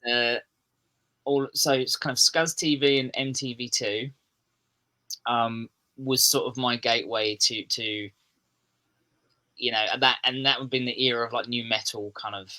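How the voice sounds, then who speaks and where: English man, podcast guest, loose chatty storyteller, bright voice. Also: medium pitch, fairly even intonation, moderate pace, long pauses, low-quality recording. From Kent, southeast England. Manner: rambling, with many fillers and restarts. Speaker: musician guest. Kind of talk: casual chat.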